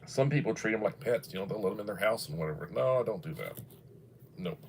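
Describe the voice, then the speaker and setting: A man's voice: on the low side, animated, fast talker, gravelly, darkish tone, a little hiss or hum. A man in his early sixties, chatting casually on a livestream, rambling and folksy as he reads viewer comments aloud. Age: 60s. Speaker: livestream host.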